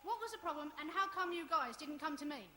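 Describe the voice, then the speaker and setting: Actress as questioning, high-voiced woman, echoey hall. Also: lively intonation, fast, bright, forceful tone. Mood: alarmed, questioning. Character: concerned, questioning woman who takes meeting notes. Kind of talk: audio drama performed before a live audience. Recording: echoey hall, background noise.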